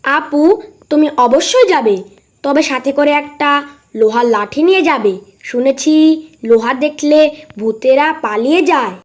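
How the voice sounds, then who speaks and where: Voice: sits high, a little slow. An actor voicing an anxious young animal child in a cartoon, earnest and worried about ghosts. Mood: earnest advice. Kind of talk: cartoon dialogue.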